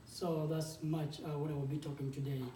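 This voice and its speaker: Man in his twenties, South Sudanese accent, dark warm tone, halting informal presentation, roomy distant recording. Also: a little high, flat delivery. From South Sudanese, grew up in a refugee camp in Uganda. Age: 20s. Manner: halting, conversational, with frequent repeated words. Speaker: game developer and startup founder, guest speaker. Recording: echoey room, distant mic, background noise.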